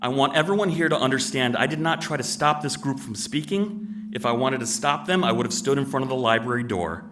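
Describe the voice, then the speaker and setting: A deep-voiced man delivers a prepared, earnest statement at a town hall podium. He sits at an even, middling pitch. The mic is set back from him, and clear room noise runs under his voice. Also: fairly quick, recorded from a distance. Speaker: resident giving public comment. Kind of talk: public comment at town meeting.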